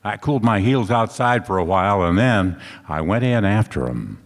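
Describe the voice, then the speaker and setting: A man's voice: fairly low pitch, expressive, normal speed, crowd noise. A man playing a wisecracking hard-boiled private eye, wry, tough and slangy, in a noir radio play. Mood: wry, narrating. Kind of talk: noir radio play narration and dialogue on stage. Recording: reverberant hall stage recording.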